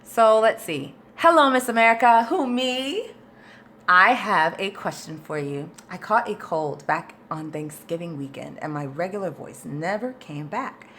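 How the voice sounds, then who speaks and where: A woman's voice: fairly low pitch, unhurried, a bit bright, slight background noise. A woman vocal coach in a casual livestream Q&A, reading viewer questions and answering them in a chatty, informal way, with patient step-by-step explanations. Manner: chatty and informal, with many fillers.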